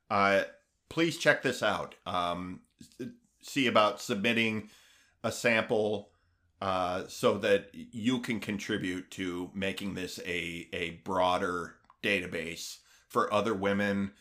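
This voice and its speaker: Bright-voiced man, halting podcast chat. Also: deep voice. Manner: halting, many fillers and restarts, grows eager. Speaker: podcast co-host.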